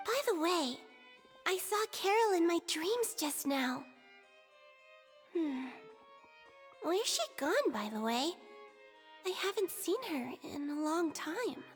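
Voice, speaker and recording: Sweet forgetful little girl creature: very high, slow, childlike game voice. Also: big pitch swings, full character voice. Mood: innocent, wistful. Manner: childlike, gentle, hesitant. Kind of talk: video game voice acting. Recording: music under the voice.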